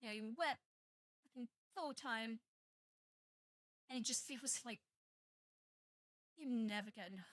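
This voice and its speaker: A woman's voice: fairly high pitch, measured pace, pauses often, fairly bright tone, dry recording. Young British woman, streamer, dry close sound, joking chatty manner. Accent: British English. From Britain, half Welsh and half English. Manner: chatty, joking, swears freely. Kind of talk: casual live-stream chat with viewers.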